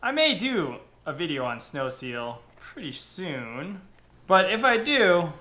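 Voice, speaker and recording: A young man hosting a casual how-to vlog from his kitchen table, chatty and repeating his words as he goes. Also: a little high. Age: young adult. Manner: chatty, repeats words and phrases, lively delivery. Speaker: channel host. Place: kitchen table indoors, home. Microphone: camera across the table.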